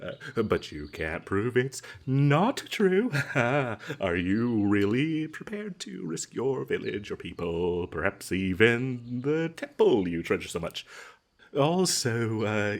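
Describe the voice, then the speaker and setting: Nervous, stammering smooth-talking male adventurer, acted by a man. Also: lowish voice, full character voice. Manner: halting, stammering, polite, nervous chuckles. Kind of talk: comedic fantasy audio roleplay acting.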